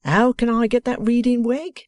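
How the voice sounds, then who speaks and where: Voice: mid-range voice, fairly even intonation, moderate pace, darkish tone, dry recording, clean studio sound, pitched above the performer's own voice, lightly acted. Mr Boffin, hearty old man as a reader puts him on. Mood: eager. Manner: broad, exclaiming, mangles words. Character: excitable uneducated retired old man, hearty. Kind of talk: audiobook character reading.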